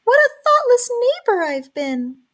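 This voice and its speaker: A woman voicing an excitable, kindly little female mouse with a squeaky, eager voice in a children's storybook. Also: measured pace, full character voice. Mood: earnest, polite. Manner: eager, exclamatory. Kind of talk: children's storybook read-aloud.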